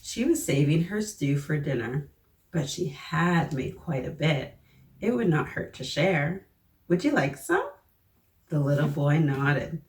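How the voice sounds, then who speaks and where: A woman hosting children's story time, reading aloud and talking to young listeners in an expressive, encouraging way. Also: sits low. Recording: echoey room, distant mic, faint noise.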